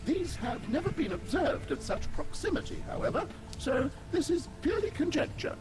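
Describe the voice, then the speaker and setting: A man's voice: very high voice, very animated, normal speed, over music. A man voicing a fussy, formal alien scientist in a video game, speaking in verbose, pedantic phrases. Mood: earnest, explanatory. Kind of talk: scripted video game character acting.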